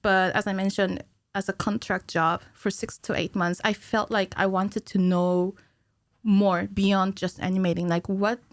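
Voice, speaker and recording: A woman's voice: mid-range voice, little pitch movement, a little slow, dry recording. Young woman chatting, dry studio sound. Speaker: podcast guest, cinematic animator. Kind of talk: casual conversational interview. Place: small curtained podcast studio. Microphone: studio microphone on stand, close.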